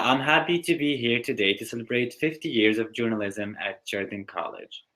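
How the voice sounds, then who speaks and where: A man's voice: mid-range voice, fairly bright tone, low-quality recording. Syrian-accented man hosting. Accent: Syrian Arabic. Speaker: co-host. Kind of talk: scripted introduction.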